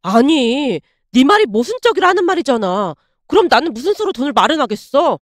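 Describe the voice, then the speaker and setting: A woman's voice: sits high, sing-song, brisk, dry recording, clean studio sound. A woman voicing an exasperated, indignant young man in a dramatized story, blunt and scolding. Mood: frustrated.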